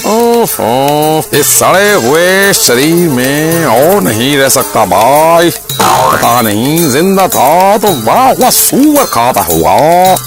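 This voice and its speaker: A man playing a ghoulish spirit inside a dying old village headman in a cartoon, grumbling theatrically in drawn-out rhymes. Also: a little high, sing-song, over music, exaggerated voice. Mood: disgusted grumbling. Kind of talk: animated cartoon story acting.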